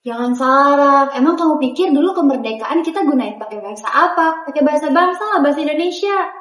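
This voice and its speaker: A woman's voice: high voice, lively intonation, fast talker, fairly bright tone. A young woman acting an earnest female student in an amateur school skit who explains and corrects her classmates. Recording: roomy, with music underneath.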